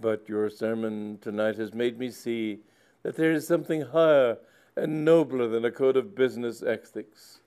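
A man playing a pompous, tearful old male country squire who speaks loudly and grandly. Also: normal speed, clean tone, close to an everyday voice. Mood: expansive. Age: older. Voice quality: high, loud and pompous.

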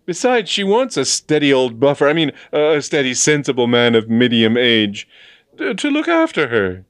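A younger gentleman in a state of exasperation, acted by a male storybook reader. His voice is pushed up high as he stammers and protests in disbelief. The sound is dry. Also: sing-song, slow pace, clean tone, dry recording. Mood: exasperated, protesting. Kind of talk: dramatised storybook reading.

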